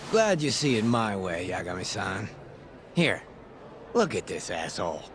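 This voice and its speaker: A cocky, sly police detective on the take. His pitch swings widely as he teases in street-wise slang. There is some light noise behind the voice. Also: very animated, unhurried. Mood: envious, sardonic. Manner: slangy, smug, teasing. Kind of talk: video game cutscene acting.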